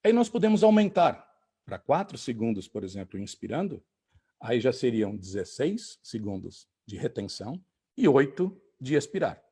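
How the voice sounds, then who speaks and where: Mellow-voiced man explaining calmly. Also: medium pitch, husky. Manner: calm, explanatory, measured. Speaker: lecturer. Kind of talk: online talk.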